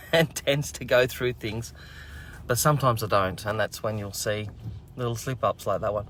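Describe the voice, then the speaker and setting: A man's voice: mid-range voice, a little hiss or hum. A man, hosting a children's learning program and chatting casually to camera in an upbeat, rambling, encouraging way.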